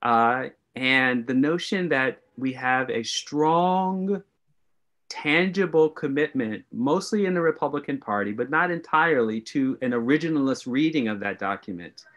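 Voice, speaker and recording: Middle-aged guy from the us, talks slow and careful, restarts his sentences a lot. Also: mid-range voice, expressive, a bit bright, muffled recording. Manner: measured, deliberate, restarts phrases. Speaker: guest historian. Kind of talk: thoughtful interview answers.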